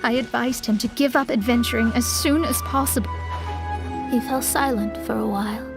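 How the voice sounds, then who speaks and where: A woman's voice: a little high, fairly even intonation, moderate pace, vocal fry, over loud music. A woman playing a proud, stern young astrologer in an anime game, speaking formally and with lofty self-assurance. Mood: solemn storytelling. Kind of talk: anime video game voice acting.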